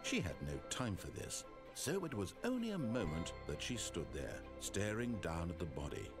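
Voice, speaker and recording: A man narrating a video game in a measured, wry, theatrical storytelling manner over game music. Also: low-pitched, huge pitch swings, exaggerated voice.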